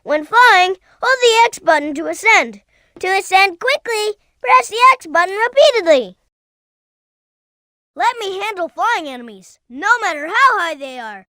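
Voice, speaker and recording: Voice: animated, a little slow, sharp tone, dry recording, clean studio sound, exaggerated voice. A performer voicing an earnest, eager little boy fox sidekick in a video game, with a childlike voice. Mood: helpful, earnest. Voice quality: high, childlike.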